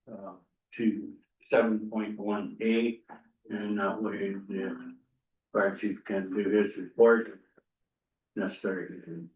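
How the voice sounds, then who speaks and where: A man on the council making a motion, hesitant and full of fillers. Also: lowish voice. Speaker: council member. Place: council chamber. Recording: echoey distant room mic, dull.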